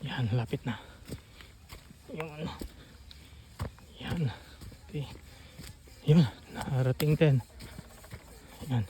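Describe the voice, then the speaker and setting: A man with a Filipino accent and a soft, mellow voice talks his way along outdoors. His delivery is informal and halting, like someone thinking aloud for a vlog. There is faint ambient noise around him. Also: fairly high pitch. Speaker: vlogger. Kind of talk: casual outdoor walk-through narration. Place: outdoors on a rural farm trail.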